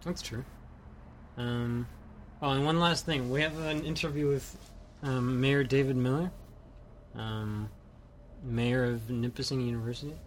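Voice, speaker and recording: A man co-hosting a homemade radio show, chatting casually and tossing in wry, deadpan quips. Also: mid-range voice, a little slow, a little hiss or hum. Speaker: co-host. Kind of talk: casual chat.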